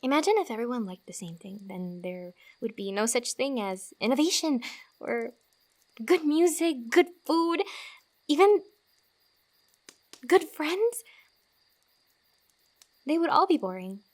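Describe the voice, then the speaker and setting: A woman playing a friendly, teasing fantasy wanderer who gives comfort in a soft-spoken, giggly, rambling ASMR roleplay. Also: sits high, expressive, measured pace, long pauses. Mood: encouraging.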